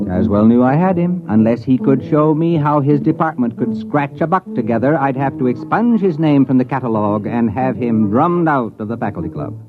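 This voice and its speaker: A man's voice: medium pitch, lively intonation, normal speed, few pauses, lightly acted. An actor playing a brash, money-minded university president who tells his story wryly in a 1950s radio drama. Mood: wry narration. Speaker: narrator and lead character. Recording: tinny band-limited 1950s radio recording with music.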